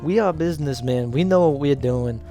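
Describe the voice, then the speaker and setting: A man's voice: a little high, moderate pace, warm tone, lightly acted. Man putting on a smug, greedy studio businessman. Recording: background music under voice.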